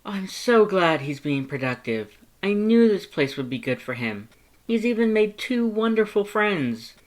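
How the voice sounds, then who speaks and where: Dramatic excitable skeleton guy, high voice, super earnest and exclamatory, audiobook fanfic. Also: measured pace. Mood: relieved delight. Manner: emphatic, exclamatory, theatrical delivery. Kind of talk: character voice in fan fiction audiobook.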